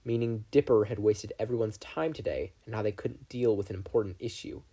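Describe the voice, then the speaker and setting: A man's voice: fairly low pitch, brisk, mellow, slightly rough. A man reading scripted fiction as an audiobook narrator, telling a story. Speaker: fan fiction audiobook reader.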